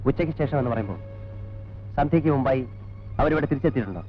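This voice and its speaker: An actor playing a brisk, insistent police detective in a 1980s film drama, probing in a businesslike way. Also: male voice, medium pitch, animated, faint music behind, close to an everyday voice. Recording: dull old film soundtrack.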